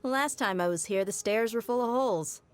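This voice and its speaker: A woman's voice: on the high side, moderate pace, close to an everyday voice. A woman voicing a casual, understated, matter-of-fact traveler in a fantasy video game. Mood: wry, casual. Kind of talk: video game character acting.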